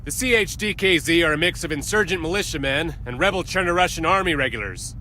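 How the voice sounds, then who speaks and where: An actor playing a stern military captain in a video game, giving a formal, authoritative briefing with clipped delivery. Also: male voice, fairly high pitch, fast talker, pushed and bright, a little hiss or hum. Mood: stern, commanding.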